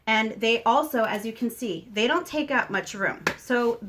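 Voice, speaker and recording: A woman's voice: fairly high pitch, measured pace, a bit bright. A woman talking casually to viewers in an explanatory way, conversational and emphatic, often correcting herself as she goes. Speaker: homeschooling mother, video host.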